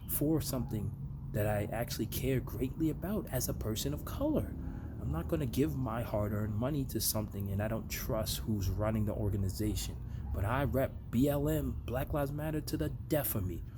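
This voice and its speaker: A man's voice: medium pitch, normal speed. An American man talking earnestly and reflectively to his viewers in a casual motivational monologue. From United States. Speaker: vlogger. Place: outdoor backyard. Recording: noisy amateur recording with ambient noise.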